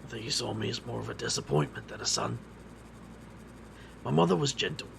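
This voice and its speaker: Actor as a gravelly, brooding, wry man. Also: medium pitch, brisk, noisy background. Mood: wry, bitter. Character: weary, gravelly, brooding man narrator with dry bitter wit. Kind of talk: audio drama narration and dialogue.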